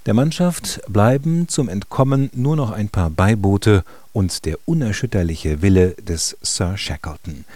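A man reading scripted radio drama narration in a measured storytelling manner. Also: fairly low pitch, animated, darkish tone, no room sound. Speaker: narrator and announcer.

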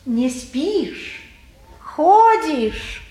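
A woman's voice: high-pitched, big pitch swings, very slow, mellow, heavily acted. A woman playing a nosy, wheedling gossip in a 1950s Russian stage drama, with sing-song, insinuating delivery. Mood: sly, teasing. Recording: faintly noisy 1950s radio theatre recording.

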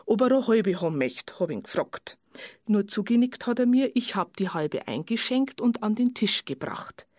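Actress doing weary waitress giving a witness account, careful halting detail, radio play, close dry sound. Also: female voice, medium pitch, animated, a little mellow, no echo, close to an everyday voice. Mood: matter-of-fact, tired. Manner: observant, detailed, halting recollection. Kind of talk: naturalistic German radio play monologue.

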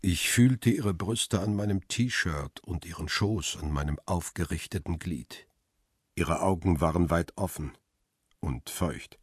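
Deep, husky, brooding narrator man. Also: measured pace, dry recording, clean studio sound. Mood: hesitant, intimate. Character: middle-aged male paparazzo narrator, brooding and longing. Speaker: narrator and lead character. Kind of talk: radio drama, literary first-person narration.

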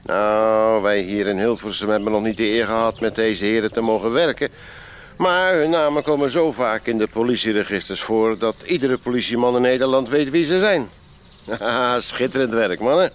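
A man's voice: medium pitch, moderate pace, smooth, lightly acted. Man playing jovial mid-voiced police sergeant. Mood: amused, impressed. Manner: jovial, chatty. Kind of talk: Dutch radio play acting.

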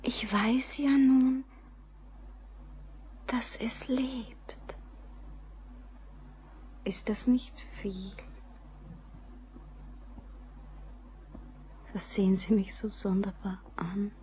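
Hushed, slow-spoken anxious bride. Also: female voice, mid-range voice, steady tone, a little hiss or hum. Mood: wondering, uneasy. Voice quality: breathy, often near whispering. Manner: halting, hushed, solemn. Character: anxious, doomed young bride, gentle and resigned. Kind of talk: German radio play acting.

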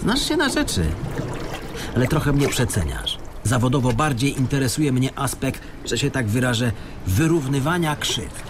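An actor in a radio drama playing a sardonic small-time crook adrift at sea, with a sarcastic, needling manner. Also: male voice, mid-range voice, very animated, moderate pace, close to an everyday voice. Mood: smug, boastful. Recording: clear water and sea noise behind.

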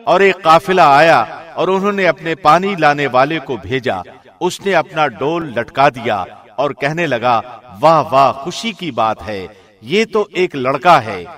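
Guy reading out a quran translation like a radio host, slightly high voice, scripted. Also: lively intonation, fairly bright tone. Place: studio.

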